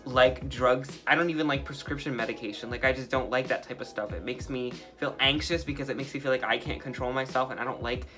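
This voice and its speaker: A man's voice: medium pitch, quick pace, hardly any pauses, pushed and bright, soft background music. A young man with a light, feminine-sounding voice, casually sharing his opinions with many filler words as he makes slime. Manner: talkative and opinionated, heavy use of "like". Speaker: vlogger. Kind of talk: casual opinion chat while making slime.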